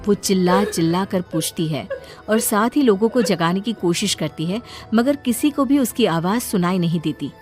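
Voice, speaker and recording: A woman's voice: mid-range voice, lively intonation, normal speed, darkish tone. Woman narrating a horror story over music.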